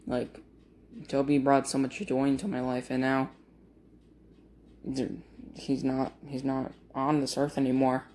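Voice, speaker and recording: Grieving male vlogger, slow and monotone, halting with many fillers. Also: mid-range voice, a little hiss or hum. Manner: monotone, uninteresting voice. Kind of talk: casual talk to camera.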